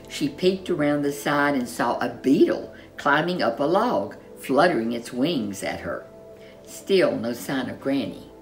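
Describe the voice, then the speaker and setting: A woman reads a children's story in a low voice with very lively intonation. She is expressive and leans into dramatic, drawn-out words. Soft music plays underneath.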